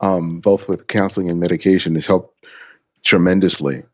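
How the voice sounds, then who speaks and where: A man with a fairly low voice talks through a casual podcast conversation. He takes his time, choosing a slow and deliberate pace. His audio is dull and lo-fi, as if the top end were missing. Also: steady tone, darkish tone.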